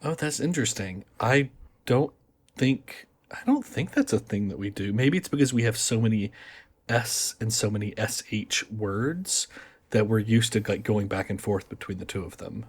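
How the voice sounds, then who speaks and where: Husky-voiced American man explaining. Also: on the low side, animated. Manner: measured, explanatory. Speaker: podcast guest. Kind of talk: casual chat.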